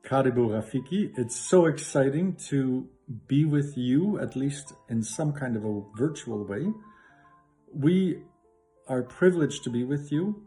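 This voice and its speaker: A man's voice: medium pitch, lively intonation, measured pace, mellow, slightly rough, faint music behind, poor audio. A middle-aged man from Canada, a professor and lay preacher, giving an enthusiastic greeting and Bible teaching with frequent restarts.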